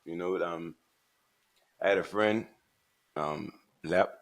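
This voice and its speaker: A man from the Bahamas with a Bahamian accent, co-hosting a podcast and telling his story in a relaxed, laid-back way. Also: low-pitched, slow. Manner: relaxed, laid-back storytelling with frequent "right" and "you know". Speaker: podcast co-host. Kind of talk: casual chat.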